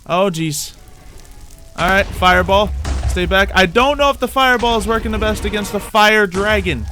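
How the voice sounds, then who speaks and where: Bright-voiced man doing game commentary over music. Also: sits high, normal speed. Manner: animated, thinking aloud with playful exclamations. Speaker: gaming YouTuber playing a Minecraft roleplay series. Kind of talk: gameplay commentary. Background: background music.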